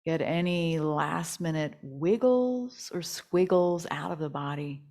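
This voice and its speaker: Low, slow-paced woman guiding relaxation. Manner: soothing, gentle, unhurried. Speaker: yoga nidra teacher. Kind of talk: guided relaxation meditation.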